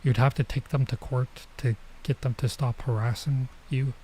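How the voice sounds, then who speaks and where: Mellow man reading and musing aloud. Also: medium pitch, steady tone, normal speed, slight background noise, rough recording. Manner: musing, thinking out loud. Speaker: streamer playing a visual novel. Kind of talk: let's play read-aloud with casual commentary.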